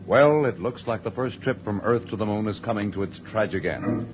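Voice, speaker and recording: An actor as the announcer of a space adventure, narrating theatrically and ominously in mid-century radio serial style. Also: male voice, on the low side, a little fast, natural voice. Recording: muffled old radio recording, music behind.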